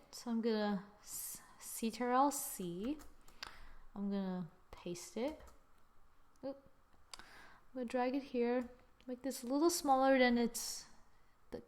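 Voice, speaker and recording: Higher-pitched woman, casual tutorial host. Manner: informal, thinking aloud while working, often trailing into murmurs. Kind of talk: casual software drawing tutorial. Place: screen-recording voice-over.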